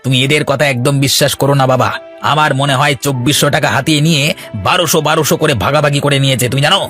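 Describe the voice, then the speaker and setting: A man's voice: a little high, steady tone, very fast, a bit bright, close to an everyday voice. A man playing an arrogant young landowner's son in a cartoon, accusatory and domineering. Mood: accusing. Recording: light background music.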